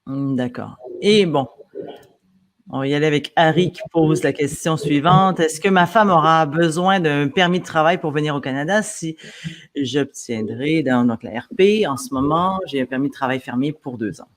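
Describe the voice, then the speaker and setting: A woman hosting an interview, reading out viewer questions and adding brief acknowledgements. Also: low voice. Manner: brisk, brief back-channel replies.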